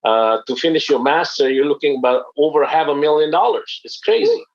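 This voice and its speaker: A man's voice: fairly high pitch, big pitch swings, brisk, lo-fi sound. A man from Indonesia giving an earnest, explanatory business webinar talk with frequent fillers. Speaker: network marketing presenter.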